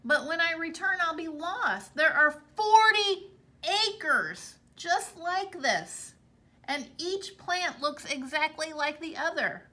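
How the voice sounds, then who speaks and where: A woman's voice: very high-pitched, expressive, takes it slowly, sharp tone, pitched above the performer's own voice, heavily acted. A woman voicing a bold, clever young male farmer in a children's storybook read-aloud. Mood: exasperated.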